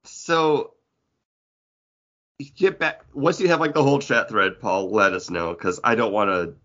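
A man chatting casually about comic books as a podcast host, with an animated, bantering way of talking. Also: on the low side, a little slow, lo-fi sound. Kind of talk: casual comic book chat.

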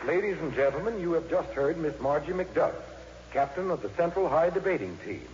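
An actor playing a courteous, formal gentleman in 1950s radio drama style. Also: on the high side, animated, brisk, background noise, lightly acted. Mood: formal, announcing. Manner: polite, formal. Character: courteous gentleman, formal school debate chairman. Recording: dull old radio broadcast, loud music.

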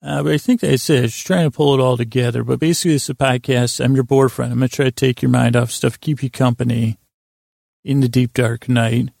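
Very creaky, rambling man. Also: medium pitch, steady tone, a little mellow, no room sound, clean studio sound. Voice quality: heavily creaky, gravelly. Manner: meandering, halting, digressive. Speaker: podcast host. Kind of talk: rambling bedtime sleep podcast monologue. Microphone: close podcast microphone.

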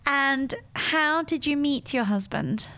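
A woman's voice: high-pitched, expressive, slow pace, sharp tone. A woman hosting a podcast, introducing the show and interviewing a guest. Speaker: podcast host.

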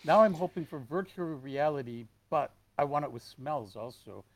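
A man from Detroit chatting casually as a guest, telling stories with animated put-on voices. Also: normal speed, no echo. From Detroit, USA. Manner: animated storyteller, acts out customers in high voices. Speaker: guest, store owner. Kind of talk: casual chat.

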